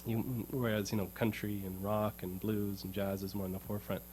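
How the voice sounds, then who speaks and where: A man's voice: lowish voice, flat delivery, a little fast, a little mellow, a little hiss or hum. A man from the United States telling a casual, rambling story between songs as a guitarist, earnest and prone to repeating words as he thinks. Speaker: guitarist performing for an audience. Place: music showroom.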